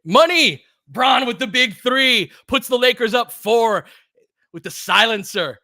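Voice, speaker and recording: A man giving informal live basketball play-by-play as a partisan fan, speaking in terse, clipped phrases and getting excitable on big plays. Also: very high-pitched, unhurried, no room sound, studio quality.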